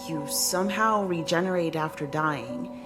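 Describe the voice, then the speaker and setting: Game supporting role: loyal crewmate, lowish voice, concerned and grounded, music behind. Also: female voice, a little slow. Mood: serious concern. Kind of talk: video game cutscene acting.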